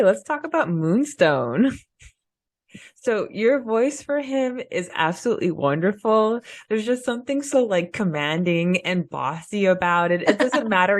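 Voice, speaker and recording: Low-pitched woman in casual podcast chat. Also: animated, normal speed, no room sound. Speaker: podcast co-host. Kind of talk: casual fan discussion.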